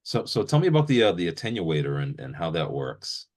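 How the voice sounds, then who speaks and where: A man with a deep, low-pitched voice hosts a casual interview. He is informal and agreeable, often doubling short affirmations before easing into his questions. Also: sing-song, a little fast, darkish tone. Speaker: interviewer and video host.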